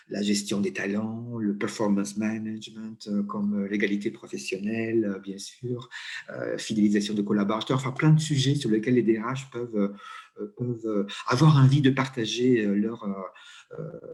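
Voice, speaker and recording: A man's voice: on the low side, moderate pace, gravelly, a little mellow. Hesitant Italian man speaking French. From Italy. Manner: hesitant, many fillers and restarts. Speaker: interview guest, coach and HR consultant. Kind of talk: conversational interview.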